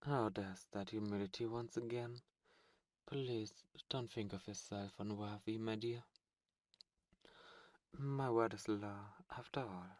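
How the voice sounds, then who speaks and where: Slow, seductive demon prince, played by a man. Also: on the low side, darkish tone, no room sound. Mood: seductive, possessive. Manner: slow, formal, flirtatious, commanding. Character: haughty, seductive demon prince, courtly and possessive. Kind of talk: ASMR romantic fantasy roleplay.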